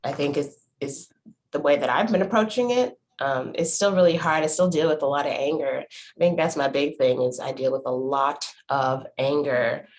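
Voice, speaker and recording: A woman's voice: low-pitched, moderate pace, slight background noise. A woman hosting a podcast, talking in a casual, reflective way with lots of "like" and "you know" as she thinks aloud. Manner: thoughtful, searching, filler-heavy with 'like' and 'you know'. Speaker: podcast host.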